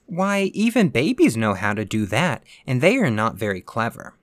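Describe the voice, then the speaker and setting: A man's voice: mid-range voice, wildly swooping pitch, moderate pace, no room sound. A man voicing an earnest, brave little girl in a storybook reading, prim and matter-of-fact in manner.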